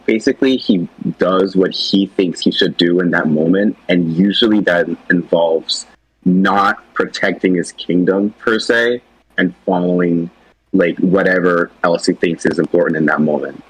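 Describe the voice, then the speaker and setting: A man with a low-pitched, rough-edged voice chats about characters. His phrasing is loose and tentative, and he keeps it informal. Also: measured pace, low-quality recording. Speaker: animation project team member. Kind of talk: casual chat discussing characters.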